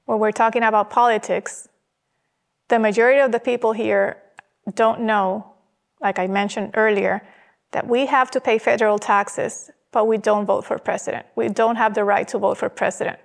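A woman's voice: a little high, steady tone, normal speed, creaky. A woman from Puerto Rico, a podcast guest, speaking in a firm, emphatic way on a studio set. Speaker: podcast guest, gallerist and artist. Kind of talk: earnest conversation.

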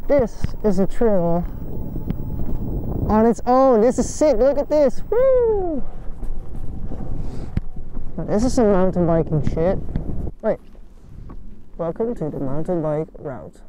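A young woman gives casual, excitable, blunt commentary with swearing, recorded on a helmet action camera while riding outdoors. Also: mid-range voice, slow, pauses often. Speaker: motovlogger. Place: outdoors, riding an electric motorbike on roads and forest trails. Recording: noisy outdoor ride audio.

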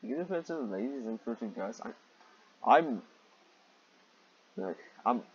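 Husky, exasperated man reading aloud. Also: medium pitch, animated, normal speed, dull audio. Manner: exasperated, sweary, sarcastic. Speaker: reader and commentator of fan fiction. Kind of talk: reading fan fiction aloud with mocking asides.

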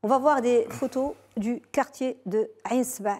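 A woman's voice: fairly high pitch, measured pace, a little mellow. A middle-aged woman hosting a TV studio interview, asking composed, leading questions on a lapel mic.

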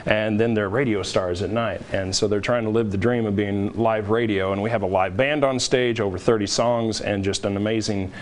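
A man's voice: on the low side, steady tone, normal speed, hardly any pauses, slight background noise. A middle-aged man, a theater director, chatting informally as a guest in a TV studio interview.

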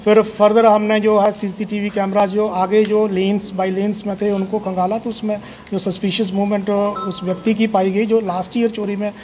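A middle-aged male police officer giving a formal press briefing, speaking in a measured way with frequent hesitations. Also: high-pitched, little pitch movement, darkish tone, soft background music. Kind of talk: press conference statement. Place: indoor police station room. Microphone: table of press microphones.

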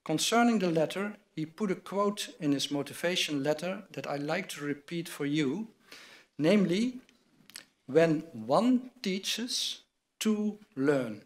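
A man's voice: fairly high pitch, lively intonation. An older man in a large wood-panelled hall, reading formal award citations from notes at a lectern microphone. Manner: measured, reads from a script at a lectern. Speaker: award presenter at a university ceremony. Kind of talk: formal ceremony address read from notes.

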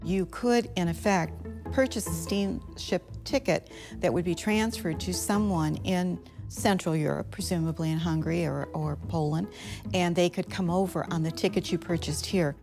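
A woman's voice: lowish voice, moderate pace. A woman interviewed as a local historian, explaining in a lecturing manner. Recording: interview with music underneath.

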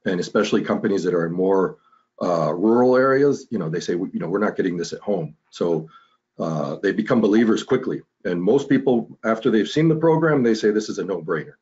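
Deep voiced man, husky, from Costa Rica, calm interview answers, dull call audio. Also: brisk. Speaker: hospital medical tourism director. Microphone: video call.

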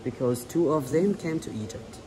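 A man in his thirties guiding visitors, explaining in simple, halting non-native English. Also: fairly high pitch, expressive, dark tone. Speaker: tour guide. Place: large church interior. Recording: noisy ambience inside a church.

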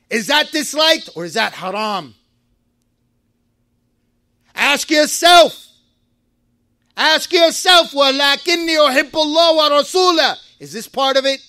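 A man giving a Friday sermon in a mosque, preaching with passion, rising to shouts and reciting Arabic verses. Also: extremely high pitch, dry recording, clean studio sound.

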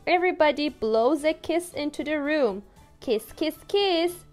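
A young woman with a Turkish accent reads a picture book to small children in a sing-song, playful voice. She speaks very slowly and sits fairly high in pitch. Faint music plays behind her in a small room. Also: expressive, a little mellow. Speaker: English teacher. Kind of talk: reading a picture book aloud to toddlers.

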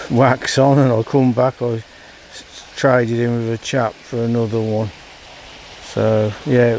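An older English man with a low, gravelly voice chats his way along a canal towpath. He talks in a relaxed, homely way and keeps inviting viewers to follow him. A cabled mic catches him outdoors over a faint hiss. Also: low-quality recording. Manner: chatty, keeps saying folks and follow me. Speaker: vlogger. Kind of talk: casual vlog narration. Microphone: cabled mic while riding.

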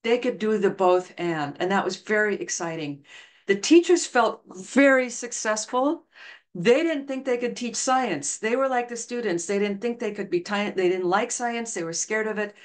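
A woman's voice: mid-range voice, normal speed. A middle-aged woman giving an online lecture over slides on a video call, talking earnestly and telling stories. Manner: earnest, storytelling, reads quotes aloud. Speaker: education researcher presenting a talk. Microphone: video call webcam.

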